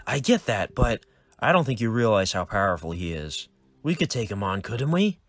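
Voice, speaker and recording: A man's voice: on the low side, sing-song, normal speed. A man voicing a hot-headed young male assassin who talks in a brash, pushy way. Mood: indignant.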